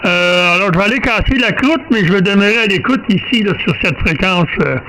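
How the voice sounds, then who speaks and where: A man's voice: high-pitched, expressive, brisk, sharp tone, low-quality recording. A man with a Quebec French accent chats casually as an amateur radio operator, hesitating, repeating words and rambling along. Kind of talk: casual radio contact chat. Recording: amateur radio recording, faint static.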